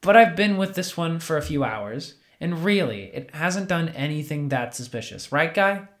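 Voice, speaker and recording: A man's voice: on the high side, animated, normal speed, fairly bright tone. A man playing an earnest, defensive and naively trusting young man in a naturalistic audio drama. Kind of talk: naturalistic audio fiction podcast acting.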